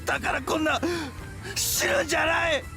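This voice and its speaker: A man's voice: very high voice, little pitch movement, fast. An actor playing a desperate man screaming for a fighter in a Japanese visual novel. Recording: loud game music behind.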